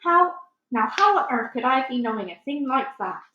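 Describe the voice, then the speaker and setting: A woman voicing a very old, good-hearted male giant from a children's storybook, excitable and easily wounded, talking in muddled grammar and made-up words. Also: high voice, normal speed, highs cut off, very noisy, voice pushed up. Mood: matter-of-fact. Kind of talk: children's storybook read aloud. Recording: echoey room.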